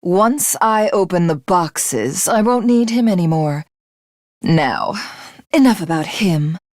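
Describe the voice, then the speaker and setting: A woman's voice: on the low side, expressive, slow pace, a little mellow, no echo, clean studio sound. A woman voicing a haughty, ruthless alien villainess in a video game, with a commanding, imperious and grandiose delivery. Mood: smug, menacing.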